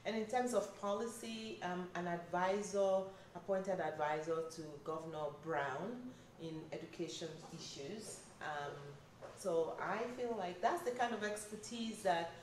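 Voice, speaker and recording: A woman's voice: fairly low pitch, measured pace, a little mellow, distant mic, a little hiss or hum. A middle-aged woman from Nigeria, earnestly answering an interviewer at a community town hall and listing her points one by one. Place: recreation center, crowd nearby. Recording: roomy hall, voice from off-mic, crowd behind.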